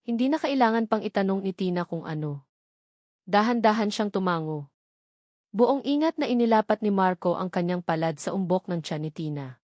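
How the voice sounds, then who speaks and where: A woman narrates scripted romance fiction with dramatic storytelling delivery. Her voice sits at a medium pitch, and the recording is close and very dry. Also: animated, normal speed, a little mellow, no room sound.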